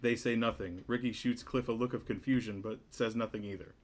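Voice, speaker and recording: A man's voice: mid-range voice, steady tone, a little fast. A man narrating stage directions from a comedy script at a table read.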